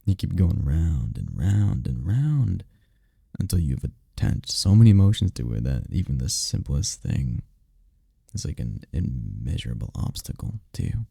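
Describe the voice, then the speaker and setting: A man playing a tender, powerful incubus boyfriend in an intimate roleplay ASMR, speaking softly and soothingly with unhurried, caring murmurs. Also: very animated, no room sound, clean studio sound, full character voice. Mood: gentle, reassuring. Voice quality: deep, dark, gravelly, husky. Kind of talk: intimate roleplay ASMR, scripted comfort monologue. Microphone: close mic, dry.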